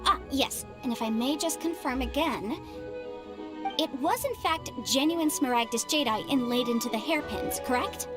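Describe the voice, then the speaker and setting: A shrewd young female legal adviser with a high voice and lively, swooping delivery. She is articulate, formal and sure of herself, like a game heroine. Music plays loudly behind her. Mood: brisk, professional. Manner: brisk, articulate, formal phrasing. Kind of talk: video game voice acting. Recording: game dialogue under loud background music.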